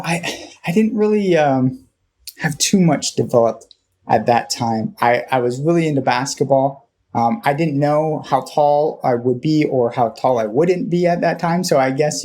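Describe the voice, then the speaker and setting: A man with a Californian accent tells his own story in a reflective, conversational way. His voice is mellow and noticeably husky at a middle pitch. He moves haltingly, often pausing partway through a phrase. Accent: Californian American. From Southern California, United States. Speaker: interview guest.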